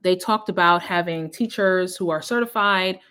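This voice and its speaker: A woman's voice: lowish voice, moderate pace, bright, forceful tone, clear, resonant tone. A woman in her thirties, born in Jamaica, hosting an education research channel, chatting casually and reading out study abstracts, often correcting herself.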